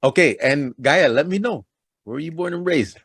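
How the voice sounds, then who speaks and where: Lively man hosting an interview. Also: fairly high pitch, normal speed, husky, no room sound, clean studio sound. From Toronto, Canada. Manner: upbeat, chatty, laughs easily. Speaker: podcast host. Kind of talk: casual interview.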